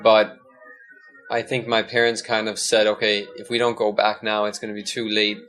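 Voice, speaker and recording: A man's voice: on the low side, monotone, moderate pace, fairly bright tone, faint music behind. A young Danish-born man as a podcast guest, talking in a loose, hesitant way with many restarts. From Denmark. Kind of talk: casual conversation.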